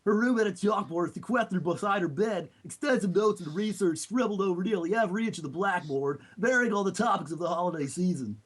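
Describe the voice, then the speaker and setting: A nerdy little cartoon turtle, put on by a man narrates a storybook in earnest. The voice sits high and has a husky, unclear edge. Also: lively intonation, fairly quick, hardly any pauses. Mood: earnest narrating. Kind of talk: scripted storybook narration read in a character voice.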